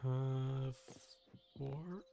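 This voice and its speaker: A man's voice: mid-range voice, fairly even intonation, extremely slow, creaky, warm tone, lo-fi sound, soft background music. A man hosting a game livestream, talking casually and informally in a rambling way, thinking aloud as he goes.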